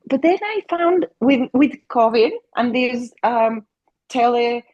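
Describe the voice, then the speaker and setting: A middle-aged woman with a Mexican Spanish accent answers interview questions, earnest and warmly searching for her words. Her voice sits a bit high and sounds husky and breathy. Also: animated, takes it slowly, dull audio. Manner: earnest, many restarts and fillers. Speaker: family therapist. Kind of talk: interview conversation.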